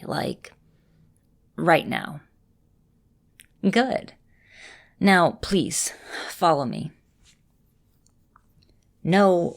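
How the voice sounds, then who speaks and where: A woman plays a vampire mistress in an ASMR roleplay. Her voice sits on the low side, and she talks straight to the listener like a caring, composed teacher, choosing measured, formal words. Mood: apologetic. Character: female vampire mistress, caring, composed mentor, easily flustered. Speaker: voice actor in a roleplay audio.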